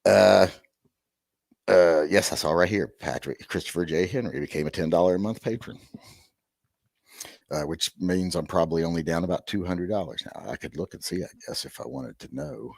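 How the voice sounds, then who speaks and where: A middle-aged man with a hoarse, gravelly voice hosting a rambling live-stream chat with wry, self-deprecating asides. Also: low-pitched, moderate pace, darkish tone, no echo, studio quality. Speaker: host.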